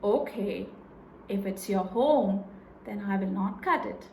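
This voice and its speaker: A woman acts out a poor storybook woodcutter, a mild husband with a slow, plaintive delivery. He sounds measured, and the room around him is echoey. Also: mid-range voice, mellow, voice pushed down, close to an everyday voice. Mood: agreeable. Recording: echoey room with faint noise.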